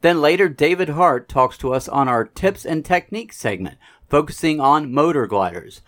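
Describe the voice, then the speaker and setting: A man's voice: on the high side, expressive, moderate pace, a bit bright. An American man hosting a gliding podcast, reading the intro steadily and then interviewing a guest. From United States. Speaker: podcast host.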